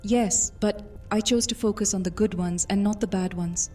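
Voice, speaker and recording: An actress playing an ancient vampire mistress and former master thief in an audio drama, speaking in a measured, formal, brooding way. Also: female voice, medium pitch, steady tone, darkish tone, lightly acted. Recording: music under the voice.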